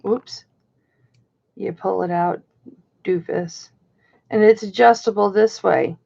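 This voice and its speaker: Slow, gravelly-voiced woman auction host. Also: mid-range voice, fairly even intonation, a little mellow, muffled recording. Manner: chatty, greets viewers by name. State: slightly hoarse. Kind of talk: casual live-stream auctioneering.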